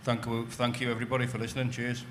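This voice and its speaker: A man's voice: mid-range voice, completely flat delivery, rapid-fire, very noisy. Casual fighter in his late thirties. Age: 30s. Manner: casual, fills with "you know". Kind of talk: self-introduction at a press conference.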